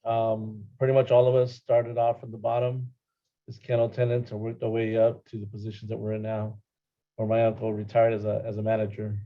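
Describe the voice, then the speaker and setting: A man's voice: on the low side, flat delivery, fairly quick, highs cut off. A middle-aged man from Los Angeles answering conversationally as a panel guest. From Los Angeles, California. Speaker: panel guest, animal services worker.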